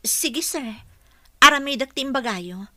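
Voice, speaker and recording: A woman's voice: high-pitched, expressive, unhurried, sharp tone, no room sound, clean studio sound, exaggerated voice. A woman acting as a respectful young housekeeper in an Ilocano radio drama, speaking with an Ilocano accent. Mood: deferential, questioning. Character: respectful young woman housekeeper, college graduate job seeker.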